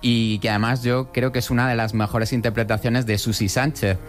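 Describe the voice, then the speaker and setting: A man's voice: medium pitch, level tone, brisk. Male film critic, conversational radio commentary, mid-range voice, music underneath.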